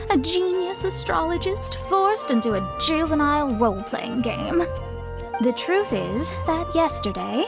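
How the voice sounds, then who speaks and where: Exasperated high-voiced young astrologer girl. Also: heavily acted. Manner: exasperated, dry, no-nonsense. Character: proud, exasperated young astrologer girl, embarrassed straight-talker. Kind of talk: anime game voice acting.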